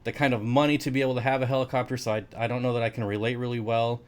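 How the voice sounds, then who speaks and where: A man co-hosting a podcast, talking casually in a hesitant, halting way with many fillers. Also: medium pitch, level tone, clean tone. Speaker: podcast co-host. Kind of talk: casual chat.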